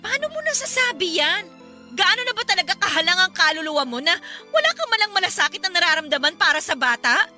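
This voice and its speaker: Radio drama role: desperate young mother, emotional, very sharp high voice, light music underneath. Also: animated, fast talker, full character voice. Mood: furious, hurt. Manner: emotional, pleading and accusing. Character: desperate young single mother pleading with and confronting ex-lover. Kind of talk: Tagalog radio drama acting.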